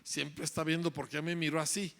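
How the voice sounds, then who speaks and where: A man's voice: fairly high pitch, flat delivery, brisk, vocal fry, fairly bright tone. A man giving an emphatic lecture to parents and teachers, driving points home with repeated phrases and tag questions. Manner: emphatic, repetitive rhetorical lecturing with frequent "¿verdad?".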